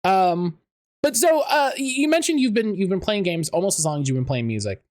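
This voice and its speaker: A man's voice: sits high, animated, fairly quick, a little mellow. A man hosting a podcast interview, rambling with lots of fillers and restarts. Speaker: podcast host.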